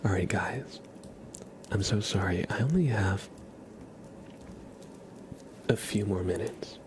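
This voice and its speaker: A man hosting an ASMR livestream, chatting casually to viewers in a soft, breathy, gentle whisper close to the microphone. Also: sits low, slow pace, noisy background.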